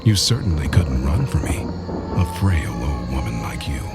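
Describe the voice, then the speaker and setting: An actor playing a sinister male tormentor from a nightmare, slow, menacing and mocking, in old-time radio horror style. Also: a bass voice, big pitch swings. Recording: loud music over the voice.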